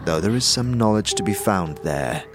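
A man's voice: lowish voice, lively intonation, moderate pace, close to an everyday voice. A man playing a world-weary, grave traveler in a fantasy audio drama, speaking formally and ominously. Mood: grave warning. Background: prominent background music.

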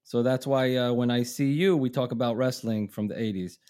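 A middle-aged man from Israel chats as a podcast guest. He tells long road stories in a loose, rambling way, restarting sentences and laughing along. His voice sits at an ordinary middle pitch. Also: brisk, a little mellow, smooth. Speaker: touring musician, guest. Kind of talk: casual storytelling.

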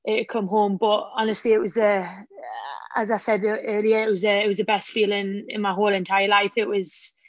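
Northern irish woman, bit croaky, halting and emotional, dry close sound. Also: mid-range voice, little pitch movement, no room sound, muffled recording. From Northern Ireland. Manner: halting, many restarts, emotional. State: slightly croaky voice. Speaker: footballer and pundit guest. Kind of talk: radio interview.

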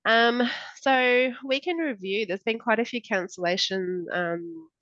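A woman's voice: fairly high pitch, unhurried, a bit bright, dry recording, lo-fi sound. Nasal Australian woman with a cold. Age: 40s. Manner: chatty, many fillers and laughs. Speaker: podcast co-host. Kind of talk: casual chat.

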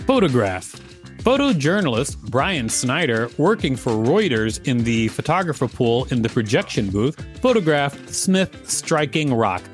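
A man's voice: medium pitch, sing-song, unhurried. A man hosting a show, reading an encyclopedia-style article aloud. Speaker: podcast host and reader. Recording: music behind the voice. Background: background music.

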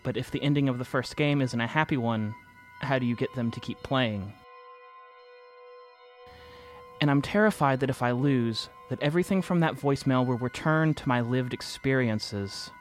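A man's voice: mid-range voice, fairly quick, soft background music, natural voice. A man playing an anxious narrator who confesses to a reality-bending game, in an earnest, reflective first-person audio drama monologue. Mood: bewildered, afraid.

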